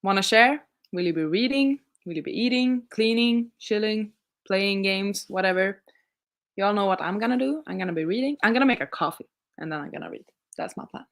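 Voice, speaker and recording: A woman's voice: mid-range voice, brisk. Chatty Swedish woman streamer. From Sweden. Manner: chatty, rambling, laughs often, many restarts. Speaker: livestream host reading viewer chat. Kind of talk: casual livestream chat.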